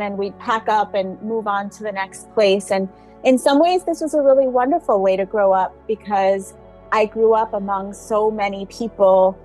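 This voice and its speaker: A woman's voice: medium pitch, darkish tone. Woman, born in Tanzania, writer, interview guest, thoughtful, occasional fillers. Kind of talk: interview storytelling.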